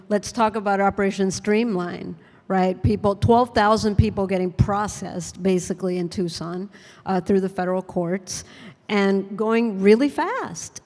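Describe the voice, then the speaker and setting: A woman's voice: mid-range voice, darkish tone. A woman on a conference panel who works in federal public defense, answering earnestly and with many fillers. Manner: earnest, thoughtful, with frequent fillers. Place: conference hall. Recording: long reverb in a hall.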